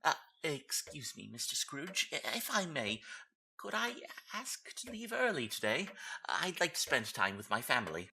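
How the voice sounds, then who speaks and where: A man playing a nervous, downtrodden Victorian clerk in a comic audio drama. His voice is strained and bright, leaping up and down as he pleads. He stammers and apologises like someone afraid of his employer. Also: on the high side, sing-song, normal speed, gravelly, husky, heavily acted. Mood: pleading, fearful. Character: timid, fretful, put-upon male Victorian clerk.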